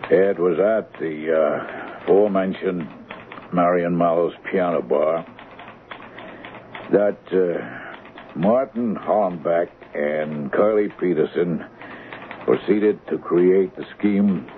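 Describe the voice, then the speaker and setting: A man narrating a 1970s radio drama in a formal, deliberate, hesitant way. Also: low voice. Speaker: story narrator. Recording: noisy, tinny 1970s radio recording.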